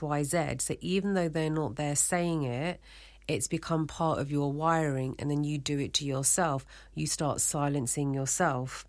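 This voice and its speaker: A woman presents a community radio show alone. She talks casually without a script, thinking aloud and drifting between thoughts in a confiding way. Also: low-pitched. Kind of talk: casual unscripted solo radio talk.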